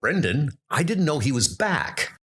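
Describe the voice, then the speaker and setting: A man's voice: on the high side, very animated, unhurried, fairly bright tone, breathy edge, voice pushed up, heavily acted. A man voicing the grave male leader of a band of knights, a former soldier who speaks in a plain, somber way.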